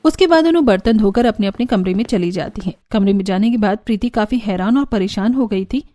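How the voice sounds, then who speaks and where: A woman's voice: mid-range voice, fairly quick, mellow, soft background music. A woman narrating a scripted cartoon family story.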